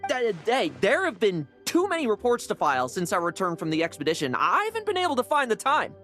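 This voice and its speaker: A man's voice: high-pitched, huge pitch swings, quick pace, bright, forceful tone, faint music behind, heavily acted. A man voicing a cheeky, evasive young knight in a video game, with an offhand, flippant manner.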